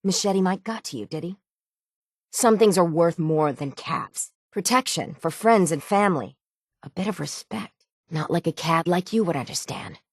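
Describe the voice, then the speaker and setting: An actress playing a weathered, determined harbor seafarer in a video game, gruff and dutiful, with salty, old-fashioned folksy phrasing. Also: female voice, on the low side, lively intonation, moderate pace, no echo, clean studio sound. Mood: scornful, stern. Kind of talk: video game character dialogue.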